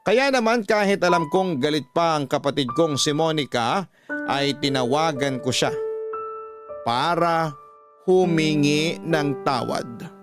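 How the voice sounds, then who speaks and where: A man's voice: fairly high pitch, a little slow, music in the background, close to an everyday voice. A man with a Filipino accent earnestly reading a first-person confessional story as scripted radio drama narration.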